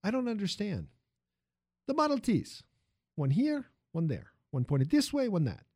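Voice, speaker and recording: Man putting on an excitable immigrant worker, higher-pitched. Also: measured pace, no room sound, clean studio sound, full character voice. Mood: excited, insistent. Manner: broken English, emphatic, exclamatory. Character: small wiry Italian-accented immigrant factory worker, tireless and excitable.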